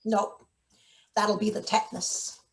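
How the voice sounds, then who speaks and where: Woman playing a deadpan, scheming maintenance worker. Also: medium pitch, slow, close to an everyday voice. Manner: deadpan, blunt. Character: gruff, paranoid, scheming female maintenance worker. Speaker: actor. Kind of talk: live table read of satirical play.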